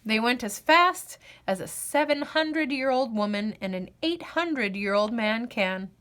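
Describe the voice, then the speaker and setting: Bright, animated woman storyteller. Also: on the high side, a little slow. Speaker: storyteller, host of a read-aloud show. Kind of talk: expressive children's storybook read-aloud.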